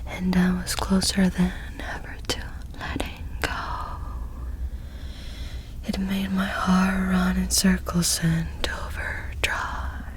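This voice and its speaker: A woman doing ASMR, softly singing and whispering song lyrics in a breathy, intimate way. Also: fairly low pitch, monotone, slow. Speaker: ASMR performer.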